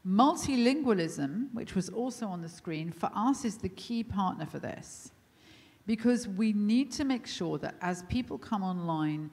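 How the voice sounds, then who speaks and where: A woman delivering panel remarks with earnest emphasis, pressing home each point. Her voice sits at a middling pitch. It carries the long reverb of a large conference hall. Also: a little mellow. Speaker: panel speaker.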